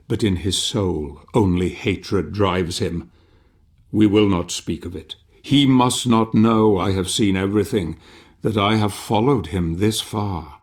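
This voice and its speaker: An actor playing a grave, scheming knightly ally who narrates a dark fantasy game in solemn, measured, formal phrases. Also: male voice, sits low. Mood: secretive, grave. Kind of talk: dramatic scripted game narration.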